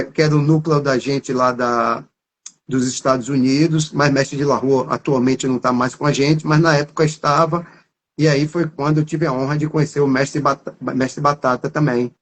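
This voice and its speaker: A man's voice: mid-range voice, fairly even intonation, moderate pace. A man from Salvador with a Bahian accent, talking at length as an interview guest and filling his speech with little tag words. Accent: Bahian Brazilian Portuguese. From Salvador, Bahia, Brazil. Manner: warm, rambling, many fillers like né and tá. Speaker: capoeira master.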